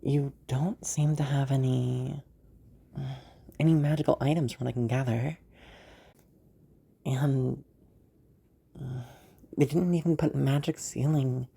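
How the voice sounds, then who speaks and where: A woman performs a magical woman rescuer in a fantasy roleplay, teasing and full of amusement. Her voice is low and mellow with a husky grain, and she talks playfully with hesitant pauses. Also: fairly even intonation, exaggerated voice. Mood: curious, puzzled. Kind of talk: roleplay audio drama.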